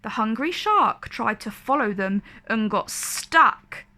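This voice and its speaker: A woman narrates a children's storybook with expressive drama. Her voice sits a little high. She takes her time over each phrase, pacing it very slowly. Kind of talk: expressive read-aloud narration for kids.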